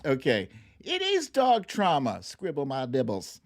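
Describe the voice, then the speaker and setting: A livestream host commenting casually on clips in a playful, expressive way, with silly exclamations. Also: medium pitch, measured pace.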